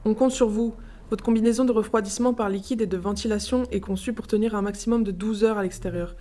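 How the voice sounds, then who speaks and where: A woman's voice: mid-range voice, fairly even intonation, quick pace, mellow, close to an everyday voice. A young woman playing a caring, businesslike mission commander in a sci-fi audio drama, instructive and encouraging. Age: young adult.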